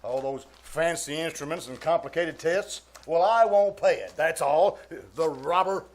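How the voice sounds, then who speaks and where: An actor playing a pompous, blustery middle-aged uncle in a live 1940s-style radio comedy, easily flustered and full of hems and exclamations. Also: male voice, a little high, a little slow, natural voice. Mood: indignant. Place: live stage performance in a theater.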